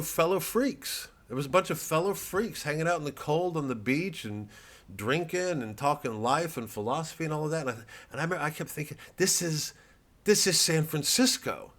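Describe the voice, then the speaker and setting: A comedian talking to camera in a rambling, profane and self-deprecating way, with many restarts. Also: male voice, on the high side, fairly bright tone.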